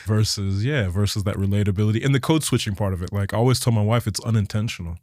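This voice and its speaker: Lively, low-voiced South African man. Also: fast talker, dry recording, clean studio sound. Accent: South African with some American twang. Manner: laughs often, animated storytelling. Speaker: guest. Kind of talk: casual interview chat.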